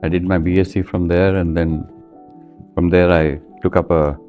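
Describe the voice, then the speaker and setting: A man's voice: low voice, level tone, moderate pace, phone-quality sound, faint music behind. A man in his seventies from India with an Indian accent, telling his life story conversationally as an interview guest, in a plain-spoken, anecdotal way. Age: 70s.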